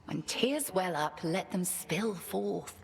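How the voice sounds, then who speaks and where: Slow, creaky, stately witch mentor. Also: lowish voice, expressive, a little hiss or hum, full character voice. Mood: solemn, tender. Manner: grand, measured, archaic phrasing. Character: stern, stately witch titaness mentor, proud and guarded. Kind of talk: video game voice acting.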